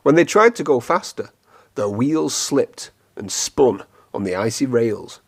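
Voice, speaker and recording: A man reading a children's storybook aloud in an expressive, clearly phrased storytelling manner. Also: medium pitch, measured pace. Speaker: storybook reader.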